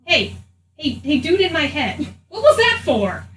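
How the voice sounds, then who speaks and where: High, animated woman role-playing, echoey room. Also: measured pace, recorded from a distance. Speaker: tabletop game player. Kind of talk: casual in-character role-play. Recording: echoey room, off mic, faint noise.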